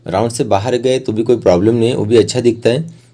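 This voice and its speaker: A young man gives a step-by-step instructional voice-over, explaining plainly and pausing mid-sentence to find his words. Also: mid-range voice, fairly even intonation, mellow. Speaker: art tutorial host.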